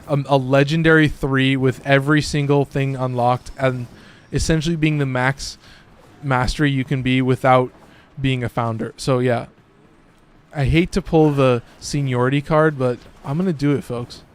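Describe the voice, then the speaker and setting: Slightly hoarse man streaming a game. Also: mid-range voice, fairly even intonation, moderate pace. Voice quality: slightly raspy. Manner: loose, profane, chatty. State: a little hoarse. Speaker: gaming streamer. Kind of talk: casual gameplay commentary.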